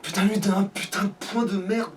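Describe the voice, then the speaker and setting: Guy gaming, high voice, grumbles and swears a lot, bit far from mic. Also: fairly even intonation, brisk. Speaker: let's player. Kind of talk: casual gameplay chat. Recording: distant mic, noticeable background noise.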